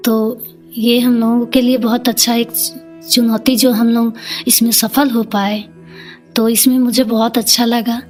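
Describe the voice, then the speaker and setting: A woman's voice: a little high, a little mellow. A middle-aged woman, speaking earnestly and plainly as she tells her own story in an interview. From Jharkhand, India. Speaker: interview guest, community leader.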